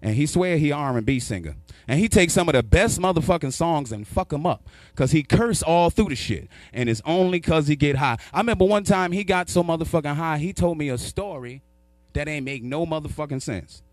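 A man in his thirties from New York doing a stand-up routine into a handheld mic in a small studio, loud and animated, shouting his punchlines. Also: on the high side, brisk, dry recording. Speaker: stand-up comedian. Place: small studio with sponsor backdrop. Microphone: handheld microphone.

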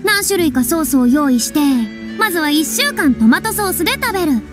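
Casting: a prickly former idol in an anime game, high young female voice, proud and defensive, clear music bed. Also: very animated, a little fast. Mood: dry, proud. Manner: haughty, prickly, boyish phrasing.

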